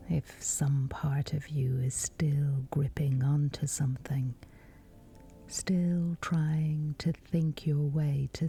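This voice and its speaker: A woman leads a guided sleep meditation in a very dark, mellow voice with a creaky edge. She speaks in a hushed whisper, extremely slowly, leaving long pauses between phrases. The delivery is scripted and unhurried. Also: deep voice.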